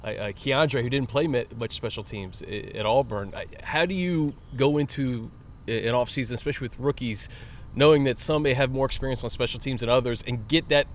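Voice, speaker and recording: A man's voice: medium pitch, brisk, slight background noise. An American man hosting a casual sports interview, chatty, often repeating words as he gets a question out. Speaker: sports show host.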